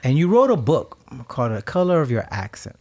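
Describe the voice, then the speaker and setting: A Haitian man co-hosting a radio talk show, speaking reflectively and with emphasis, sometimes becoming animated and laughing as he tells stories. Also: mid-range voice, fairly quick. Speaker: co-host.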